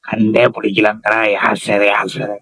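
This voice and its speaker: A man with a gravelly, husky voice making a prank call, dodging questions with teasing wisecracks in casual slang. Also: on the low side, completely flat delivery, measured pace, fairly bright tone. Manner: evasive, wisecracking replies. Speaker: prank caller.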